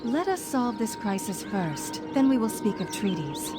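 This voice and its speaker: A woman's voice: medium pitch, very animated, unhurried. A woman playing a noble, earnest and firm human leader in dramatic fantasy game dialogue, with music underneath. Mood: resolute.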